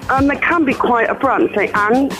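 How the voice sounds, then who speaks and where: A man's voice: extremely high pitch, normal speed, over music. A man calling in to a radio show, speaking hesitantly and mildly. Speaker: caller. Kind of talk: casual phone chat.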